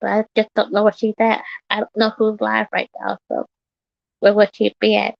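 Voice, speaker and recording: A woman in her thirties rambles bluntly on a livestream, repeating herself and swearing freely. Her voice is gravelly with plenty of fry and a touch hoarse from earlier yelling. The sound is dry and somewhat muffled and lo-fi. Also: mid-range voice, monotone, normal speed, no room sound. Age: 30s. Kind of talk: casual livestream rant.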